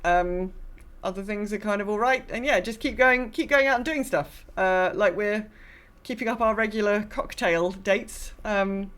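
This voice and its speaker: A woman in her mid-forties co-hosting a podcast, chatting casually in a rambling, self-deprecating and expressive way. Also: medium pitch, moderate pace. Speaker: podcast co-host. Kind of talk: casual chat.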